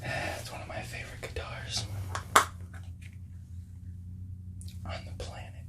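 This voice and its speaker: A man playing a friendly, laid-back hippie record store clerk in a soft-spoken ASMR role-play, often breathy and whispering, with hesitant, casual small talk. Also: normal speed, close to an everyday voice. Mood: relaxed, welcoming.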